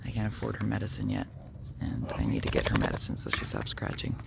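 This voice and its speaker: A man talking musingly while walking his dogs outdoors, sometimes speaking softly to them, recorded on a phone. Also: very deep voice, big pitch swings, fast, gravelly, heavy background noise. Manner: musing, soft-spoken, talks to his dogs. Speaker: video blogger. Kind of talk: rambling walk-and-talk vlog. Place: outdoors on a neighborhood walk.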